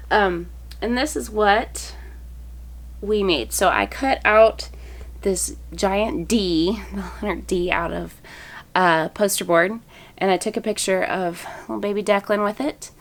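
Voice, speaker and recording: Chatty woman, thirties, low-ish voice, slightly froggy from a cold, casual home vlog delivery. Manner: chatty, many ums, small laughs. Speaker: family vlogger, mother of eight. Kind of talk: casual chat showing gift ideas. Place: home, indoors.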